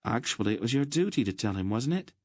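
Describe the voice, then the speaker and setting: A man's voice: medium pitch, very animated, fast talker, a little mellow, no room sound, voice pushed up. A man voicing an earnest, slightly awkward young man talking with a knight.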